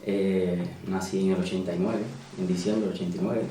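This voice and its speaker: A Cuban man in his thirties with a Cuban Spanish accent, talking casually as an interview guest and filling pauses with "eh". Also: low voice, flat delivery, mellow, far from the mic, slight background noise. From Cuba. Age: 30s. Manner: conversational, many "eh" fillers and restarts. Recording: roomy, echoey, voice away from mic.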